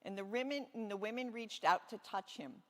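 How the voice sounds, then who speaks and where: A woman pastor telling a Bible story to children in a gentle, expressive storytelling way with deliberate emphasis. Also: mid-range voice. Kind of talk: children's sermon, reading a Bible story aloud. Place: large reverberant church space. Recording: echoey hall with long reverb.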